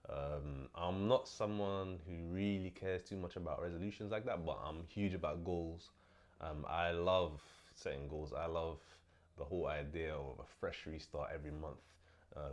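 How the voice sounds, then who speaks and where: A young man hosting his own vlog, talking casually and a bit low on energy as he recovers from illness, with lots of fillers. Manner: relaxed, chatty, many fillers. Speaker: host. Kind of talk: casual vlog talk to camera.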